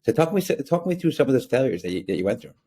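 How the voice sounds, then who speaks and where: A man's voice: mid-range voice, very animated, fast talker, dark tone, husky. A man in his thirties hosting a podcast over a video call, asking eager questions and rephrasing as he goes. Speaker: podcast host. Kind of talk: casual interview chat.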